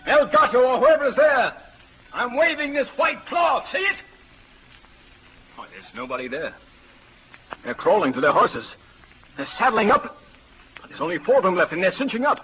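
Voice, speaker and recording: An actor playing a stern, pious frontier patriarch in an old radio western, declaiming and giving orders like a preacher. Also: male voice, extremely high pitch, sing-song, moderate pace, long pauses. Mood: stern, commanding. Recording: tinny, noisy old radio recording.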